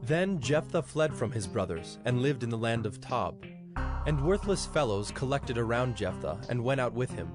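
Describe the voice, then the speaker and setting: A man narrating scripture from a script in a measured, solemn manner. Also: mid-range voice, animated, music in the background.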